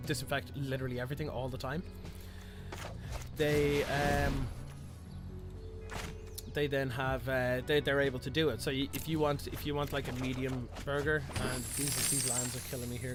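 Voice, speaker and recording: A young man from Ireland with a mild Irish accent, streaming a card game and chatting with viewers. He rambles, restarts often and pokes fun at himself. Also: mid-range voice. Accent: Irish, Dublin area, mild. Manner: rambling, many restarts, chatty and self-mocking. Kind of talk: casual stream chat while playing a card game. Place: small room indoors. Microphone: headset. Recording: game music behind voice.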